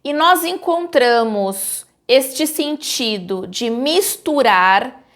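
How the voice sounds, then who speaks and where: A Brazilian woman with a Brazilian Portuguese accent, hosting her channel and teaching the meanings of a common verb in slow, clearly articulated scripted narration. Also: high voice, animated, a bit bright.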